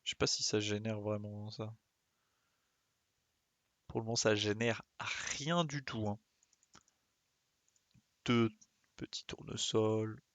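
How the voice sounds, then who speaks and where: Low-voiced man muttering through a game stream. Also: fairly even intonation, takes it slowly, long pauses, a bit bright, no echo. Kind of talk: casual live gameplay commentary.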